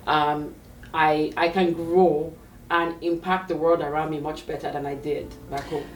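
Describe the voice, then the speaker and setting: A woman's voice: low-pitched, measured pace, distant mic. Nigerian woman speaking from afar in an echoey room. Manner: animated storytelling with fillers and restarts. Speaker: tech career coach, guest. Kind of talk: conversational interview. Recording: echoey room, away from the mic.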